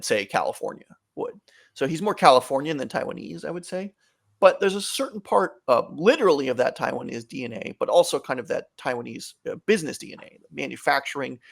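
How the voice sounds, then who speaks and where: A man with a gravelly, fry-tinged voice answers an interviewer's questions at length. He explains in a measured, thoughtful way, circling back and restarting now and then. His pitch sits in an ordinary middle range. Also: a bit bright. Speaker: author, guest.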